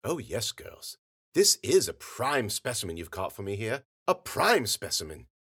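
A man voicing a posh older gentleman, an indulgent but sinister father, smooth and silky, with measured scolding. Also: on the low side, very animated, no room sound, clean studio sound, exaggerated voice. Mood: pleased.

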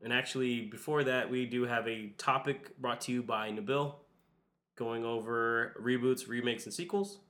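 A young man with a bright, forward voice hosts a casual chat, slightly nasal from a cold. He talks loosely and rambles through his points with plenty of fillers. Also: medium pitch, steady tone, normal speed. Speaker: podcast host.

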